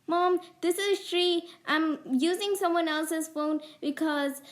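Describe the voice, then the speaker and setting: Woman as high-voiced mischievous prankster girl. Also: steady tone, takes it slowly. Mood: pleading. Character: mischievous young girl who loves pranks, later panicked. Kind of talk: animated moral story acting.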